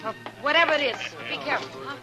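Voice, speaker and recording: An actress playing a frontier marshal's caring woman friend, gently concerned, in a 1950s radio western. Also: sits high, sing-song, quick pace. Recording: old radio drama with music.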